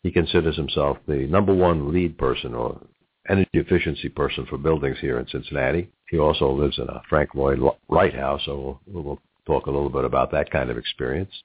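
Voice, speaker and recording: Male host, middle-aged, New York accent, very low husky voice, business interview, thin phone-like sound. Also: a little fast. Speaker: radio talk show host. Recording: muffled narrow phone-like audio.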